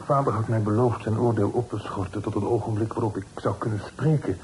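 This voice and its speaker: An actor playing an earnest, secretive grown son, formal and composed, in a period radio drama. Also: male voice, lowish voice, brisk, a little mellow, close to an everyday voice. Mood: earnest, pleading. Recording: noisy old radio play recording.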